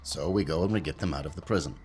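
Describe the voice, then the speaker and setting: A man playing a tabletop role-playing game, talking casually in a firm, deliberate, argumentative way. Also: very deep voice, a little mellow, soft background music. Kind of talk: casual in-character and table talk.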